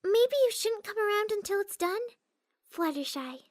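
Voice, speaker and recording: A woman voicing a gentle, timid young female cartoon pony, reading a letter aloud with sweet hesitancy. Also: very high-pitched, lively intonation, moderate pace. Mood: timid, reluctant.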